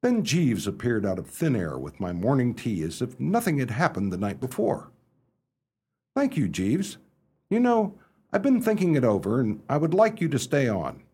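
A man acting in a radio play as an excitable, foppish young English gentleman, theatrical and chatty. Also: mid-range voice, normal speed, breathy edge. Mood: conciliatory. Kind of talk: radio play acting and first-person storytelling.

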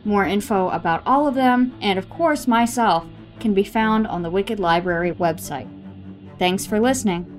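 A woman's voice: mid-range voice, moderate pace. A woman hosting a podcast, reading a scripted introduction. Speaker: podcast host. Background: music.

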